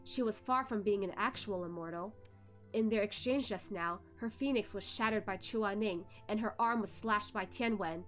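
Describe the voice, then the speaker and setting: A woman's voice: medium pitch, moderate pace, soft background music. A woman streamer reading a web novel aloud in dramatic narration.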